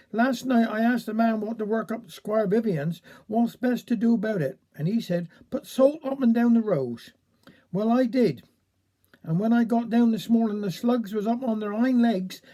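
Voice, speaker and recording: A woman's voice: a little high, moderate pace, mellow, close to an everyday voice. A woman storyteller voicing a blunt, cheeky village lad in plain-spoken Cornish dialect. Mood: aggrieved. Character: blunt, cheeky Cornish village male called Richard Henry.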